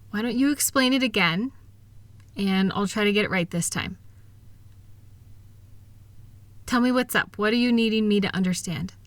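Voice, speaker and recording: A woman plays an affectionate, capable wife in a comfort roleplay. Her voice is bright and recorded very dry and close. She is gentle and reassuring, with a light teasing touch. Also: on the high side, no room sound, natural voice. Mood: gentle, patient concern. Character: kind, upbeat, capable wife soothing her insecure partner. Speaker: audio roleplay performer. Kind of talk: intimate comfort audio roleplay.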